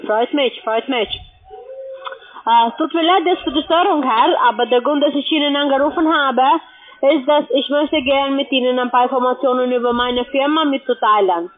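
Through a tinny phone line comes a high-pitched woman's voice. She is a non-native speaker cold calling, formal and halting in her phrasing. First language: not German. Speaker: cold caller.

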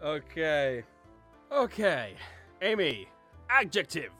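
Bright, animated man hosting a word game. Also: fairly high pitch, slow, soft background music. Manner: animated, jokey. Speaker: host running a word game. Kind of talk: casual chat with friends in a group gaming session.

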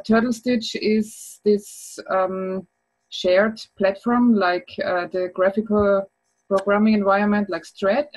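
A woman's voice: medium pitch, poor audio. Hesitant woman on a video call. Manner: hesitant, many fillers and restarts. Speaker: educator sharing her project. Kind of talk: casual video-call talk. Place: home room with bookshelves. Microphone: laptop webcam mic on a video call.

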